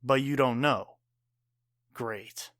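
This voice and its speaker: A man's voice: mid-range voice, very slow, fairly bright tone, dry recording, clean studio sound. An actor playing a proud, wounded war veteran father and bartender in an audio drama, speaking earnestly and tersely. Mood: anxious.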